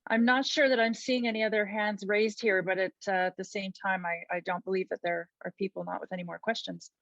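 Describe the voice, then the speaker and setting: A woman's voice: medium pitch, fairly even intonation, fairly quick, vocal fry, a bit bright, no echo, dull audio. A woman moderating an online public meeting, guiding questions and passing the floor along in a practical, friendly way. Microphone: video call.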